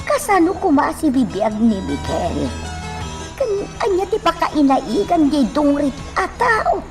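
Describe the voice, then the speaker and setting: A woman's voice: high voice, very animated, measured pace, recorded from a distance, over music, heavily acted. A woman with an Ilocano accent acting a deferential, concerned helper in a radio drama.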